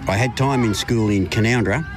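A man's voice: fairly low pitch, moderate pace, background noise. A man from Australia, a guest in a casual interview, talking in a rambling, hesitant way with many fillers.

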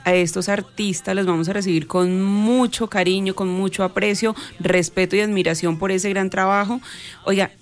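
A woman's voice: medium pitch, fairly even intonation, moderate pace. A woman with a Boyacá Colombian accent, an interview guest speaking for a shopping centre in encouraging, courteous phrases. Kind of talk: informal radio interview.

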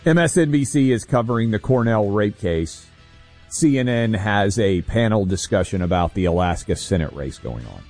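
Low, dark-voiced male radio co-host. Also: expressive, moderate pace, soft background music. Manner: pointed, critical commentary with fillers. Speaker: radio talk show co-host. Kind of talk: political talk radio.

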